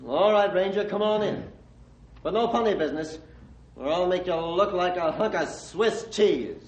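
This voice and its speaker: A man acting a bitter, gruff old hermit doctor in an old-time radio drama, with halting rustic speech and a defensive, threatening manner. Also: high-pitched, animated, a little hiss or hum. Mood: menacing. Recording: muffled, band-limited vintage radio recording.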